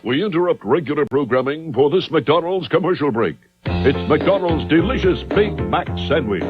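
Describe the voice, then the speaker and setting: A man playing upbeat commercial announcer, animated, retro, lo-fi sound, music blaring behind. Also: a little high, moderate pace, lightly acted. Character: upbeat male TV commercial announcer selling burgers. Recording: dull lo-fi broadcast, loud music bed.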